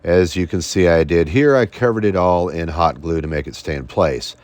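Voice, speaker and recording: A man's voice: low voice, moderate pace, a little mellow, no echo. An American man in his fifties giving plain-spoken, folksy how-to narration as a hobbyist video host. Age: 50s.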